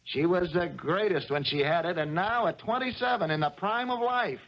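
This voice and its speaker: An actor in a 1970s radio drama playing an excitable male sports broadcaster who stammers and repeats himself dramatically. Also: sits high, normal speed. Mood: excited, dismayed. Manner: hesitant, repeating words. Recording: dull old radio broadcast recording.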